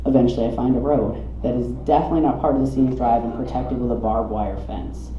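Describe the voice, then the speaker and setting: A man's voice: medium pitch, fairly even intonation, fast. Man storytelling live in an echoey, muffled room. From southeastern United States. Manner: dramatic, wry comic delivery. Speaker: storyteller reading own memoir. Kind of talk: live reading of a humorous personal narrative. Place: coffee shop reading event. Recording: muffled roomy live recording, some noise.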